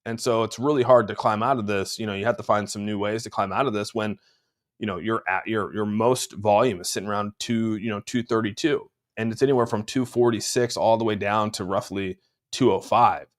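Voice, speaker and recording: Chill streamer dude a bit stuffy, lowish voice, rambles and says dude a lot. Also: male voice, steady tone, a little fast. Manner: laid-back, rambling, says "dude" often. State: slightly congested. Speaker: crypto livestream host. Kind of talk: casual chart talk answering live chat. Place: room at home.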